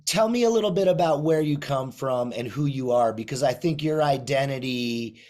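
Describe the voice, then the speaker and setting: A man's voice: mid-range voice, moderate pace, darkish tone. A man hosting a film radio show, reading a formal guest introduction and asking questions. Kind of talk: scripted introduction then interview questions.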